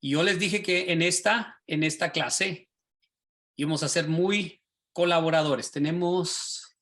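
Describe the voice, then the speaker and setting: A man, a pastor and teacher, teaching a class over a video call in a lively, sermon-like way, often asking his listeners rhetorical questions. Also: fairly high pitch, measured pace. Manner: animated, rhetorical questions, asks the class directly.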